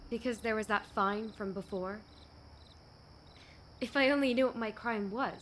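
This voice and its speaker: A woman's voice: a little high, moderate pace, fairly bright tone, a little hiss or hum, close to an everyday voice. An actress playing a struggling young woman in a radio play, guarded but hopeful. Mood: downcast, guarded.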